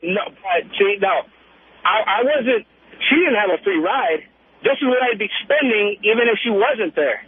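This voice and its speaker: A man's voice: high voice, expressive, normal speed, breathy edge, no echo. A man calling in to a radio show, talking casually in an insistent, defensive way. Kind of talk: casual phone-in conversation. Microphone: telephone. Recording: narrow tinny telephone line.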